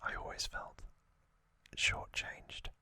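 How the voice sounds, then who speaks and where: A man in his thirties whispering casual fan commentary as an ASMR host, soft and unhurried with long pauses. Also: no room sound.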